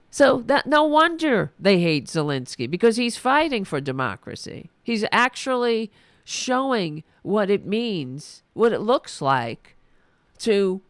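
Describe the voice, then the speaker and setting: A woman's voice: medium pitch, dry recording, clean studio sound. A woman, hosting a political podcast, ranting in a heated, profane, sarcastic way while losing her voice. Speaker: podcast host. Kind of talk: angry political rant.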